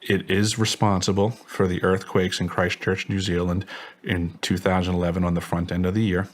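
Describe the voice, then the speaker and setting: A man's voice: low voice, flat delivery, normal speed. A man speaking as an interview guest, giving assertive testimony in formal, technical wording. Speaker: interview guest, former contractor.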